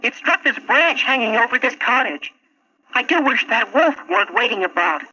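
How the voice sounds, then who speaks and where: A male performer playing an eager, polite, anxious young servant boy, earnest and expressive, in a 1960s children's story recording. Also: very high-pitched, moderate pace, dull audio, full character voice. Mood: polite, nervous. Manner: earnest, wide-eyed, expressive.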